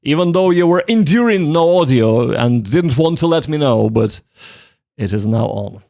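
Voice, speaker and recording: A man's voice: on the high side, expressive, normal speed, creaky, dark tone, no room sound, clean studio sound. A man hosting a casual study livestream, thinking aloud in a joking, self-deprecating way. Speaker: livestream host, language learner.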